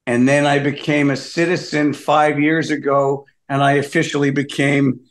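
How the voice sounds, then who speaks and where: A man's voice: fairly high pitch, little pitch movement, moderate pace. Wry, grumbling Canadian man. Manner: wry, complaining storyteller. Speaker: comedian guest. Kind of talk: casual interview banter.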